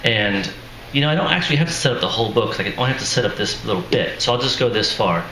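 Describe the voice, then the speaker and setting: A man's voice: mid-range voice, expressive, racing, bright, forceful tone. A man, an author at a live reading, introducing his book in a casual, self-deprecating way with chuckling asides and a voice that sounds worn out. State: strained, tired voice. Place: live reading venue with audience. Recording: echoey room, noisy ambience.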